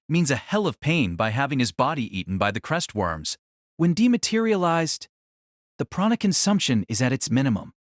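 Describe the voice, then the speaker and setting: A man's voice: medium pitch, no echo, clean studio sound. A man narrating an audio drama in a dramatic, scripted style that rises and falls with the action.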